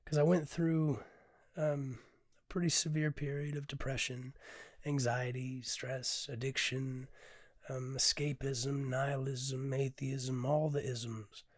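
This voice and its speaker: A hoarse man hosting his own podcast, talking candidly and unpolished with many ums, swearing freely, sometimes dropping to a hush, and reading his poetry. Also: medium pitch, flat delivery, slow, dry recording, clean studio sound. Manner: drops to a hush at times. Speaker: podcast host and poet. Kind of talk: casual reflective monologue with poetry reading.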